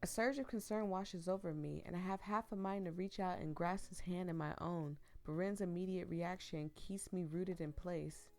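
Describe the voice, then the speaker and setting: A woman's voice: lowish voice, normal speed, few pauses, darkish tone, soft background music. A young woman getting over the flu and losing her voice, reading a visual novel aloud and breaking off for giggly, rambling asides to her viewers. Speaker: streamer playing a visual novel.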